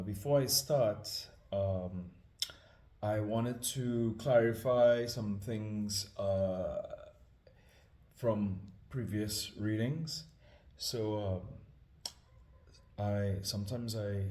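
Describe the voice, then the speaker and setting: Trinidadian guy chatting before a scripture reading, lots of ums, lowish voice, roomy echo. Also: darkish tone. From Trinidad and Tobago. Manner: hesitant, many ums, humble and apologetic. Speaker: scripture reader. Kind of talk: casual spoken introduction.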